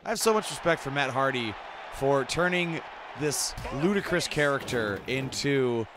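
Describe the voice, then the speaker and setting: A man hosting casual reaction commentary on game scenes he acted in, playful and expressive. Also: medium pitch, normal speed, noisy background. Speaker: host, game voice actor reacting to own scenes.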